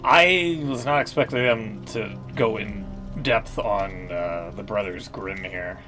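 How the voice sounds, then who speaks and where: A man's voice: fairly low pitch, slow pace. Man reading game lore over music. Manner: casual, swears freely when reacting. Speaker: streamer. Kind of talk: reading game lore aloud with live commentary.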